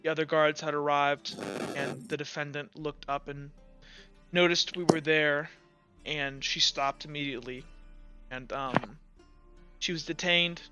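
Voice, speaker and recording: Man playing a halting, shaken guard commander testifying. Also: a little high, steady tone, sharp tone, clean tone, soft background music, rough recording. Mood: reluctant, shaken. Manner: halting, hesitant, stammering.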